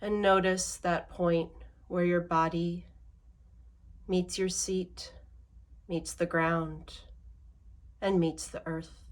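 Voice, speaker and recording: A woman leading a guided meditation in a measured way, leaving long pauses between short phrases. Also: lowish voice, flat delivery.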